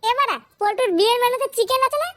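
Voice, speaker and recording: A performer voicing a brash, crude, excitable cartoon boy, in a shrill, pitched-up voice. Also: racing, loud music behind the voice. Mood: brash, excited. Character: foul-mouthed cartoon boy, loud, cheeky, excitable drinking pal. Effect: pitch-shifted up. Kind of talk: comic cartoon dubbing.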